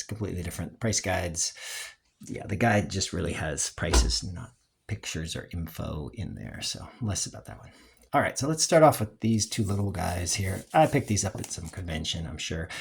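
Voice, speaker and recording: Gravelly, low-voiced middle-aged man rambling casually. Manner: rambling, hesitant, thinking aloud. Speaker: collector and video presenter. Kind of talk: casual unscripted video tour.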